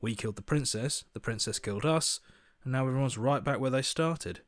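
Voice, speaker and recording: An actor playing an earnest, principled hero in a video game, with a sceptical, pressing manner. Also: male voice, mid-range voice, brisk, no echo, close to an everyday voice. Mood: sardonic disbelief. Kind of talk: video game character voice acting.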